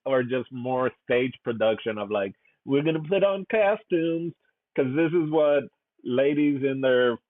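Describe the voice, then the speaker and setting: A man's voice: mid-range voice, no echo. Tinny-sounding man rambling about a film. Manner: rambling, laughing, many "like" fillers. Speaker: podcast co-host. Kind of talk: casual movie review chat.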